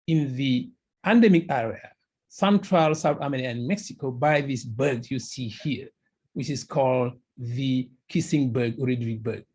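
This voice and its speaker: A man from Senegal giving a webinar talk over a video call, with halting, emphatic phrasing. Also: on the high side, a little mellow, slightly rough. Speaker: researcher presenting. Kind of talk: webinar presentation.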